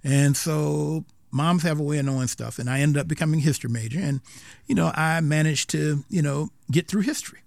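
An older man with a slightly raised voice, recalling his past in a loose, halting way. He stops and starts again often and fills gaps with "uh". The sound is dry and close. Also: on the high side, gravelly, dry recording. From South Carolina. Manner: rambling, halting, with many restarts and fillers. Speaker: retiring history professor, guest. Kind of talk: unscripted interview.

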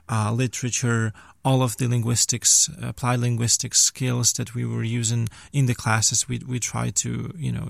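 A man's voice: mid-range voice, creaky, no echo, studio quality. A man in his twenties from western Ukraine, a native Ukrainian speaker, answering questions as an interview guest in a conversational way. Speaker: interview guest, language teacher and student.

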